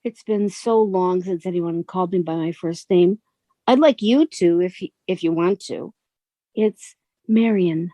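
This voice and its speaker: An actress playing a gentle, lonely bookshop woman, earnest and shyly admiring, in a radio suspense drama. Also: fairly low pitch, unhurried, no room sound. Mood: wistful, tender. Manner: soft-spoken, earnest, flattering.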